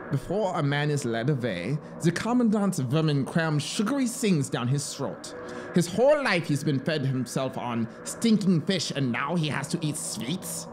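A man's voice: fairly high pitch, very animated, moderate pace, a little mellow, a little hiss or hum, pitched above the performer's own voice. A man voicing a fanatical officer devoted to his machine, complaining with emphatic indignation in a story reading. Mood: outraged. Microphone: close studio microphone on boom arm.

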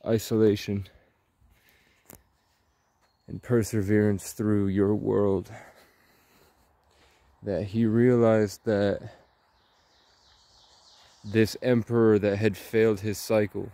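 A man in his twenties giving a slow, rambling monologue in short, halting phrases while walking outdoors. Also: on the low side, level tone, mellow, no room sound. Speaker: vlogger. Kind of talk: slow rambling monologue, ASMR-style walk.

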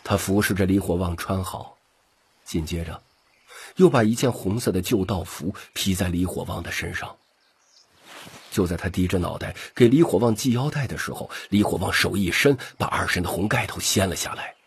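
一名男性有声书播讲者，以戏剧化的方式照稿讲述故事。. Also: male voice, low voice, moderate pace, breathy edge. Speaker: audiobook narrator. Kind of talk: scripted dramatic story narration.

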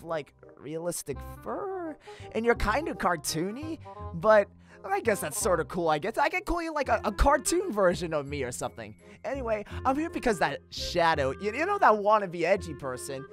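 Cartoon hedgehog hero, young male played by a woman, cocky but easily flustered, very animated stammering delivery, music underneath. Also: mid-range voice, close to an everyday voice. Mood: awkward, rambling. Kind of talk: fan comic dub voice acting.

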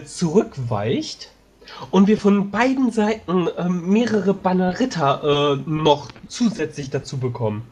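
A man playing a tabletop game, discussing tactics casually in a halting, hesitant way. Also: high-pitched, sing-song, darkish tone, husky. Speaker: player.

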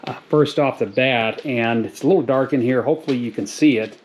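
A middle-aged man hosting a farm channel, explaining a do-it-yourself project in a relaxed, rambling way with lots of fillers, filmed in a garage on an action camera. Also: mid-range voice, brisk, slight background noise. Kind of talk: casual how-to video narration. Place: garage, then outdoors on a farm lawn.

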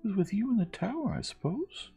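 A man's voice: high voice, sing-song, a little fast, creaky, warm tone, husky, soft background music, voice pushed up, heavily acted. A man voicing an anxious village wife in a storybook, worried and questioning.

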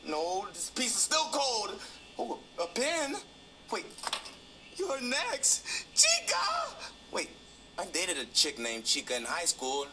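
A man's voice: high-pitched, huge pitch swings, slow pace, background noise, exaggerated voice. A man playing a panicky, blustering night guard in a comedy, repeating himself frantically. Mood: desperate.

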